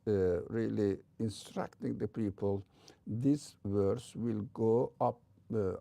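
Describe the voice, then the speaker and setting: An older man with a heavy Turkish accent giving an informal religious lecture as a teacher, in a halting way with frequent pauses. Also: lowish voice, creaky, warm tone, slightly rough, dry recording. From Turkey.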